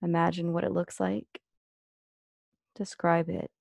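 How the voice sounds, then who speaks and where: A woman with a soft-edged, mellow voice and noticeable fry leads a guided meditation. She gives gentle instructions, leaving long pauses between phrases. The sound is close and dry, with no room around it. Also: lowish voice, level tone, no room sound.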